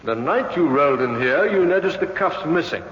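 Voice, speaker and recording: An actor playing a methodical, polite police detective asking questions in an old radio drama, formal and terse. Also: male voice, a little high, expressive, normal speed, a bit bright, slight background noise. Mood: inquiring. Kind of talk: 1950s radio drama acting. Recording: muffled, echoey old radio recording.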